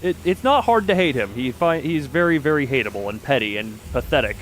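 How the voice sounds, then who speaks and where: A man's voice: medium pitch, lively intonation, fairly quick, a little hiss or hum, rough recording. A man commentating live on a game stream, chatting with his viewers as he plays.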